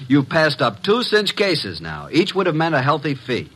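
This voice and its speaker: A wisecracking assistant to a detective in vintage radio drama. The voice is husky and forceful, with very lively swings of pitch. He talks glibly, with a wry edge. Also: normal speed, heavily acted. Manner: glib, wry, animated. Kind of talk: 1950s radio drama acting and narration. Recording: vintage 1950s radio recording.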